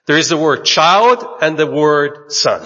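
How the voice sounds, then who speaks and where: A man's voice: on the high side, animated, fairly bright tone. Man preaching in a hall. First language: Portuguese. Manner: measured, speaks in short phrases and pauses for the interpreter. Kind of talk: sermon with consecutive interpretation. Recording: dull recording in a large echoing hall.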